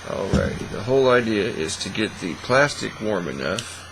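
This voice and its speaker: A middle-aged man giving a casual, folksy, unhurried how-to demonstration at an indoor hobby bench. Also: on the low side, expressive. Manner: folksy, unhurried step-by-step talk. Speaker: hobby craft tutorial host. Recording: faint mains hum and noise.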